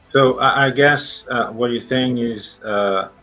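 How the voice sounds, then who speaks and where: A man's voice: mid-range voice, slow, sharp tone, soft background music, low-quality recording. A male podcast host asking questions in a hesitant way, with non-native phrasing. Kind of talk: interview questions.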